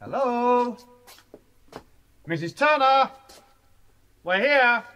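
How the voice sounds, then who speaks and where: An actor playing a hearty, pushy young English sporting student, jovial and brisk, in a radio drama. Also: male voice, very high-pitched, lively intonation, sharp tone, full character voice. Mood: cheerful. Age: young adult.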